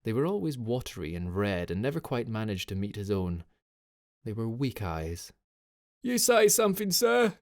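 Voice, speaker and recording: A man's voice: fairly low pitch, expressive, moderate pace, darkish tone. A man reading scripted fiction as an audiobook narrator, telling the story in a dramatic style.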